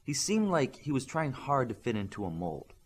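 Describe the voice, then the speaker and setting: A man's voice: mid-range voice, sing-song, normal speed, slightly rough. A man reading a scripted fiction story as an audiobook narrator.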